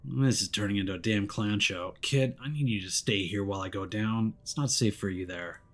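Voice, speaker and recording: A man voicing a gruff, weary paranormal detective, terse and dryly sardonic, for a story game. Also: fairly low pitch, a little fast, a bit bright. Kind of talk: visual novel character voice.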